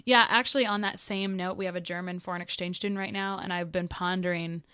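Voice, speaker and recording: A woman's voice: lowish voice, steady tone, quick pace, pushed and bright, smooth, no echo. A woman hosting a podcast in casual, chatty talk that rambles into side notes. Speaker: podcast host. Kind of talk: casual podcast interview chat.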